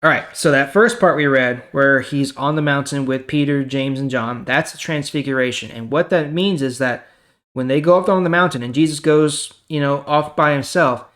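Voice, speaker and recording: A man's voice: medium pitch, normal speed, a bit bright. A man reading the Bible and explaining it in a casual, conversational way as host.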